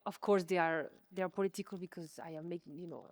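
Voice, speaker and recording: A woman's voice: fairly low pitch, fairly even intonation, fast, no echo. A woman whose native language is French, speaking informally and self-deprecatingly in a conversational artist's talk. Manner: informal, self-deprecating, hedging with many likes. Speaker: artist, interviewee. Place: museum exhibition space.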